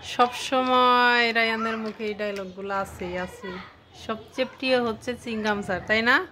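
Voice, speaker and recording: A woman's voice: on the high side, steady tone, moderate pace, noisy background. A woman filming a casual home video, asking her young son questions in a coaxing, curious way. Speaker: parent filming her child.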